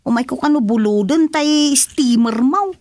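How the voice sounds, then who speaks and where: An actress playing a polite, chatty younger neighbour in an Ilocano radio comedy, with an Ilocano Filipino accent. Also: female voice, sits high, a little slow, a little mellow, no echo, studio quality.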